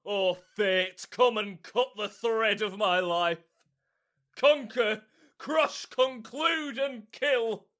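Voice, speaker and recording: An actor playing a hammy amateur who declaims as a tragic lover, overwrought and melodramatic, in a comic audio drama. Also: male voice, high-pitched, very slow, bright, forceful tone, full character voice. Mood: anguished, melodramatic. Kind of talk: modernized Shakespeare audio drama comedy.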